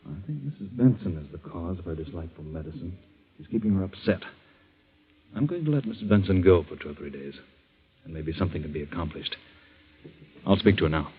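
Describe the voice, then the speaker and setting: Very deep, husky master of the house. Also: male voice, animated, a little fast, long pauses, heavily acted. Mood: concerned, secretive. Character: controlled, authoritative husband and master of the house. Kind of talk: 1940s radio acting. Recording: muffled old 1940s radio recording.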